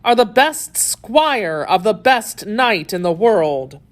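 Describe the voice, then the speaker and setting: A woman's voice: mid-range voice, very animated, slow. A woman voicing a wily male showman in a storybook, theatrical and effusive, given to dramatic exclamations. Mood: gushing, grand.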